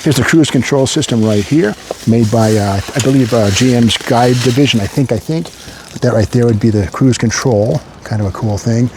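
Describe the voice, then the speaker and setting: A man's voice: mid-range voice, normal speed, background noise. A man hosting an unscripted walkaround car commentary in a rambling, repetitive way with wry asides. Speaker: car show host.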